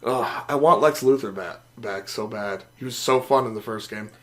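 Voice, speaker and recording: A man co-hosting a casual gaming podcast, sniffly from a cold, excitable and swearing freely. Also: on the low side, moderate pace. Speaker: podcast co-host.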